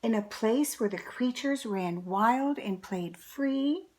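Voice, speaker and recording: A woman reading a picture book aloud to children, with deliberate, dramatic, expressive storytelling. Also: on the high side.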